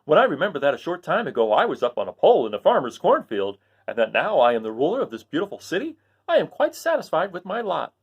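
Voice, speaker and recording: A man voicing a proud, thoughtful living scarecrow in a children's storybook, in an animated, earnest lilt. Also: fairly high pitch, quick pace, breathy edge, dull audio, voice pushed up, full character voice. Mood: proud, content. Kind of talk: children's storybook read aloud.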